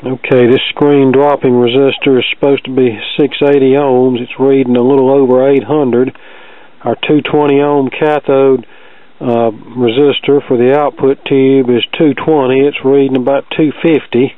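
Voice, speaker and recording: A man's voice: medium pitch, level tone, normal speed, no echo. Plainspoken man on muffled, phone-like audio. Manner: plainspoken, methodical, matter-of-fact. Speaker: host, vintage record player repair hobbyist. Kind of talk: casual hands-on repair narration.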